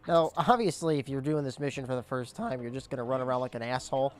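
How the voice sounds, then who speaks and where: A man's voice: quick pace, faint music behind. Chatty young man with cracking voice. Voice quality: voice cracks and squeaks at times. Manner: chatty, explains objectives as he plays. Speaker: gameplay commentator. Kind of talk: casual let's play commentary.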